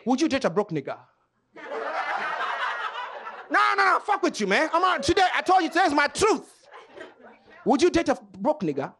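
A man from Kenya doing stand-up comedy on a club stage, loud and animated, joking with the crowd. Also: very high voice, normal speed, long pauses.

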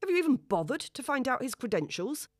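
A woman voicing an irate, domineering middle-aged father who shouts and bullies. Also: high-pitched, sing-song, brisk, no echo, studio quality, pitched above the performer's own voice. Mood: angry. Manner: shouting, bullying, demanding.

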